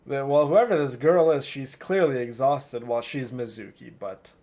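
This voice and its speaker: A man's voice: medium pitch, moderate pace, a little mellow. A man chatting casually as a livestream host while he plays a game, effusive and affectionate toward his viewers.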